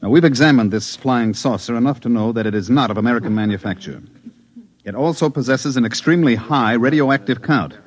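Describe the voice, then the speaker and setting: An actor playing a firm government security agent who interrogates calmly and persistently, in a 1950s radio drama. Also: male voice, medium pitch, a little fast, natural voice. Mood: interrogating. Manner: calm, pressing interrogation.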